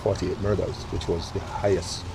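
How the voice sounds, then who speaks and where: A police official giving a formal briefing of crime figures in a measured, factual way, with short filler pauses. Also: male voice, low-pitched, little pitch movement, gravelly, noisy background.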